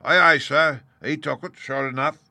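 A man voicing a rough, surly pirate mutineer with uneducated sailor speech in an audiobook. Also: on the high side, fairly even intonation, normal speed, bright, forceful tone, no echo, pitched above the performer's own voice. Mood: obedient.

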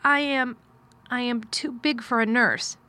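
A woman voicing a stiff, anxious little girl in a storybook, timid and halting, with a tremulous eagerness. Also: on the high side, fairly even intonation, bright, forceful tone, pitched above the performer's own voice. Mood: nervous, pleading.

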